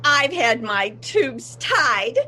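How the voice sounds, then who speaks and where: A woman playing an unhinged woman in a comic stage monologue, halting, giggly and theatrical. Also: high-pitched, big pitch swings, pushed and bright, a little hiss or hum, exaggerated voice. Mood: manic, defensive. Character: unhinged woman insisting she is sane.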